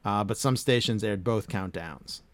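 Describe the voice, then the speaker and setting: A man's voice: lowish voice, moderate pace, no echo. A middle-aged man hosting a casual podcast chat, reading facts aloud and swearing casually. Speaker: podcast host.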